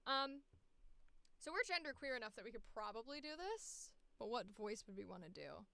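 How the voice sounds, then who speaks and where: Female streamer, casual and self-deprecating, with a smooth, forward, bright voice. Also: a little high, sing-song, moderate pace, dry recording. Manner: candid, sweary, self-deprecating. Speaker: streamer and voice actor. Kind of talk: casual self-talk while recording auditions.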